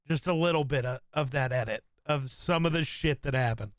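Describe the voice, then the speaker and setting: A man co-hosting a casual podcast, an excitable fan who swears casually. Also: mid-range voice, brisk, no echo, studio quality. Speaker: podcast co-host. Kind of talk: casual chat.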